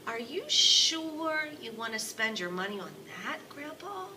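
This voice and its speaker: A woman's voice: on the high side, big pitch swings, a little slow, recorded from a distance, noisy background, pitched above the performer's own voice. A woman voicing an earnest, eager young boy in a storybook, polite and a little hesitant. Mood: concerned, ready.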